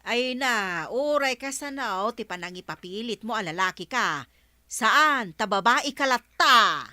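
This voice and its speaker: A woman's voice: fairly high pitch, lively intonation, a little slow, bright, forceful tone, no echo, full character voice. An actress with an Ilocano accent playing an evasive, exasperated village woman in a radio comedy-drama, with an emphatic, protesting manner.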